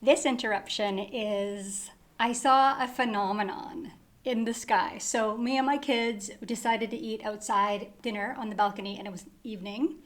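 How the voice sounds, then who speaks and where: A woman on a book club podcast, hosting segments and telling a story in a lively, casual way with frequent laughs. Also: mid-range voice, normal speed.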